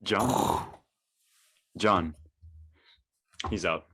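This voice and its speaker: A man's voice: low voice, very slow, fairly bright tone, husky, heavily acted. A man acting a tired but diligent teenage boy athlete in a dramatic read, casual and slangy. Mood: tired, easygoing. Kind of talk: online dramatic table read.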